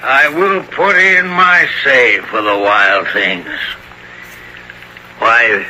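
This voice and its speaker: A man playing a wry, folksy old countryman with a rural American accent and deliberate, sardonic delivery in a radio drama. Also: mid-range voice, steady tone, pushed and bright, exaggerated voice. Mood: indignant. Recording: old radio recording, reverberant, faint hiss.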